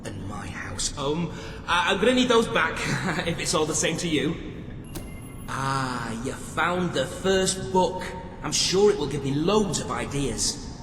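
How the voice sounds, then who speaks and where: A dutiful, eager prison guard in a video game. He is slightly awkward and deferential, in a fairly high voice that echoes around a hall with noisy ambience behind it. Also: expressive, measured pace. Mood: eager, pleased. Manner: deferential, hesitant, earnest. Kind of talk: video game character acting.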